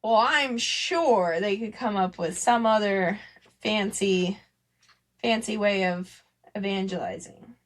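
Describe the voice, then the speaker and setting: A woman's voice: medium pitch, slow pace. A woman co-hosting a podcast in casual conversation, rambling a little as she thinks aloud. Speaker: podcast co-host. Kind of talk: casual podcast chat about family devotions.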